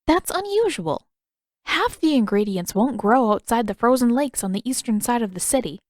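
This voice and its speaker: A woman voicing a kindly, playful and gently curious elderly woman in a story reading. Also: a little high, animated, moderate pace, dry recording, clean studio sound, close to an everyday voice. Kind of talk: audiobook character dialogue.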